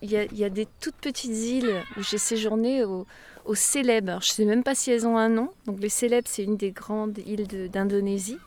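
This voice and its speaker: A woman's voice: medium pitch, steady tone, brisk, darkish tone. A woman, a volunteer housekeeper at a sailing base, chatting and reminiscing with hesitations in an interview. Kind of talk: casual interview. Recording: noisy outdoor recording.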